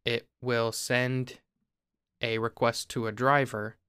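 A young man from Ohio talks through a topic in a relaxed, explanatory way, backing up to restart a phrase now and then. His voice is smooth and resonant but stays flat in pitch. The sound is dry and close. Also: takes it slowly, dry recording. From Ohio, USA. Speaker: podcast host. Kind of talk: casual explanatory chat.

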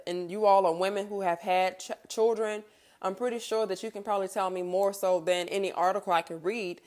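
Candid thirty-year-old woman chatting casually. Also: mid-range voice. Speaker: podcast host. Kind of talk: candid personal monologue, casual chat.

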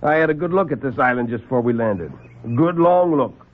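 Man as a doubtful airman buddy, lively questioning voice, tinny radio sound. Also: mid-range voice, a little fast, close to an everyday voice. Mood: puzzled. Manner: questioning, plain-spoken. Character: wary, puzzled male airman sidekick. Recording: tinny lo-fi old radio broadcast.

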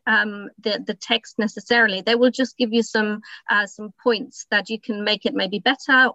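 Bright-voiced German-born woman coaching writers. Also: mid-range voice, dry recording, muffled recording. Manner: encouraging, practical advice with many ums. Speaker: writing coach guest. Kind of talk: casual podcast chat.

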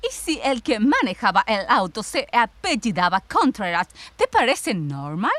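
A woman's voice: a little high, sing-song, measured pace, a bit bright, no room sound. A woman playing an older, skeptical sister back from exile in a radio play, pointed and insistent. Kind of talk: Spanish-language radio theatre acting.

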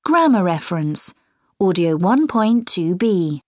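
A woman announcing audio track titles in a crisp, formal manner. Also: fairly low pitch, big pitch swings, unhurried, darkish tone, no room sound, studio quality. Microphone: dry studio mic.

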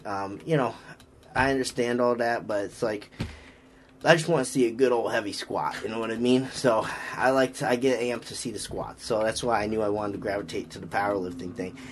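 Low-voiced Boston man, rambling coach talk. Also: brisk, slight background noise. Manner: rambling, frequent fillers and restarts, upbeat about lifting. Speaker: strength coach and podcast host. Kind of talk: casual podcast chat.

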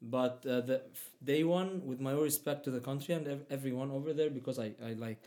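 A man's voice: mid-range voice, a little fast, dark tone. A young man whose first language is Arabic, talking casually as a guest in English, hesitant with many fillers and restarts. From Palestinian, raised in Egypt. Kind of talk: casual conversation.

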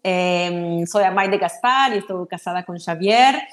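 A woman's voice: on the low side, a little slow. Argentine lady, spanish native, accented and careful, lots of ehs, podcast guest on a call. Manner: thoughtful, hesitant with frequent fillers. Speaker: guest, HR executive. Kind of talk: podcast interview.

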